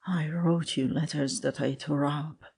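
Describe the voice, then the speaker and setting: A man playing an ardent, lovesick young man, earnest and melancholy, with a husky voice and a yearning, confessional delivery in a literary dramatic reading. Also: a little high, fairly even intonation, unhurried, darkish tone, exaggerated voice.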